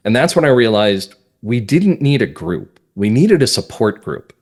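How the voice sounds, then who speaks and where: Animated man explaining in interview. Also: mid-range voice, moderate pace. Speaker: guest playwright. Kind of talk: conversational interview answers.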